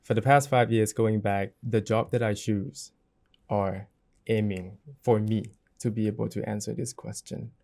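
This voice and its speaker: A man, giving measured, reflective answers as an interview guest. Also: lowish voice, fairly even intonation, a little mellow. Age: 30s. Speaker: parliamentary candidate, interview guest.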